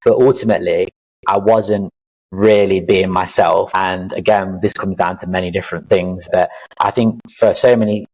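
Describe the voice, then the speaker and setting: A man in his thirties speaks in a low, deep register. He talks through his experiences in an earnest, reflective way, with plenty of fillers and restarts. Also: fairly even intonation, moderate pace, darkish tone, rough recording. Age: 30s. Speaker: podcast guest, life coach. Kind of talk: casual interview chat.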